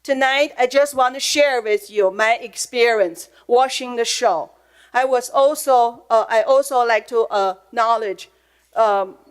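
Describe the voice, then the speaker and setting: A woman's voice: on the high side, smooth. Earnest middle-aged woman with Chinese accent. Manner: earnest, emotional, reading from prepared notes. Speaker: public commenter at a school board meeting. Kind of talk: prepared public comment. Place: public meeting room.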